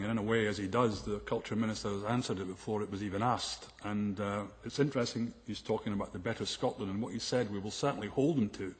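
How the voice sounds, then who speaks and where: Fast-talking man pressing a point. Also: lowish voice. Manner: pointed, urges action. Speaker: audience member. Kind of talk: comment from the floor. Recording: long hall reverb.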